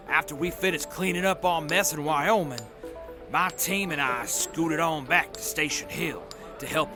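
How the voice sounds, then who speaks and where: A man playing a groovy gravelly hipster captain. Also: a little high. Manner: laid-back hipster slang. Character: groovy hippie-slang male army captain.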